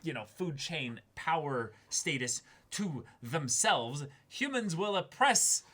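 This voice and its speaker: A man's voice: fairly high pitch, sing-song, slow pace, pushed and bright. A man co-hosting a casual discussion, talking analytically and stressing his key words. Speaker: co-host of an anime reaction discussion.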